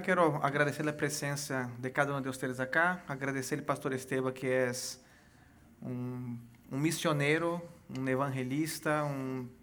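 A man from Venezuela with a Brazilian Portuguese accent, earnestly telling his testimony as a missionary guest, halting and restarting often. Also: mid-range voice, a bit bright, slight background noise. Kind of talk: personal testimony in church interview.

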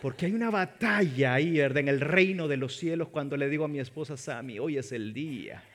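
A man from El Salvador preaching a sermon as pastor, animated and joking as he speaks straight to the congregation. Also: a little high, a little fast.

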